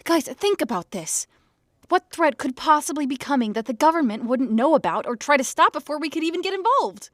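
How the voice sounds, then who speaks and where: A woman's voice: sits high, expressive, fairly quick, fairly bright tone. A woman playing a skeptical, tough-talking teenage girl with a blunt manner in an audio drama. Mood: reasoning.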